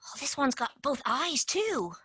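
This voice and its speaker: A woman's voice: sits high, sing-song, measured pace, a bit bright, no room sound, studio quality, heavily acted. Woman playing a brash scheming tooth fairy. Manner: brash, wheeling-dealing. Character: scheming businesslike female tooth fairy agent. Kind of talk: stage play performance.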